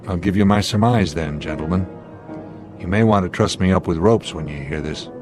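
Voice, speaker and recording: An actor playing a steady, authoritative airline captain in a radio drama, reasoning deliberately and giving commands. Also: male voice, low voice, over music, lightly acted. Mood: grave, deliberate.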